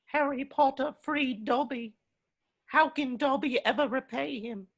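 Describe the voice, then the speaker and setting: A woman voicing a small, devoted, earnest servant creature in a drama read-through, speaking slowly and solemnly. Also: high voice. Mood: grateful. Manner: speaks of self in third person, slow and solemn.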